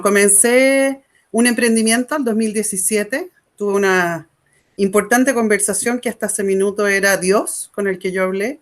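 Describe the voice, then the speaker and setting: A woman from Chile with a Chilean accent, a panel guest telling her personal story in a reflective, unhurried way. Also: mid-range voice. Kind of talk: personal testimony.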